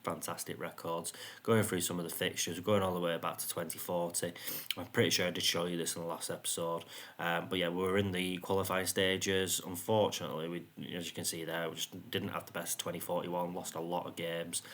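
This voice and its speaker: Monotone low-voiced man. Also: brisk. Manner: rambling, frequent ums and "you know what I mean". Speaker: gaming YouTuber. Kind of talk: casual game commentary.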